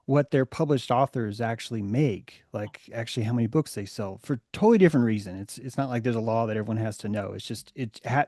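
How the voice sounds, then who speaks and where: Male host, mid pitch, candid, reflective, halting, dry sound. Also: darkish tone, dry recording. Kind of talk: casual chat.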